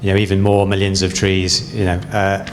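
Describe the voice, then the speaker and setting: A man's voice: low-pitched, monotone, moderate pace. A man answering as a parliamentary candidate at a hustings, hesitant with many fillers. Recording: echoey hall with faint hum.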